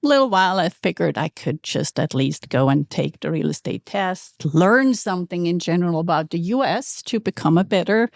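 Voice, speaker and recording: A woman's voice: on the low side, expressive, moderate pace, creaky, few pauses, dry recording, studio quality. A middle-aged woman from Switzerland, an English speaker telling stories as a podcast guest. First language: not English. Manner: storytelling, laughs often. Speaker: guest, realtor. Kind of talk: casual interview chat.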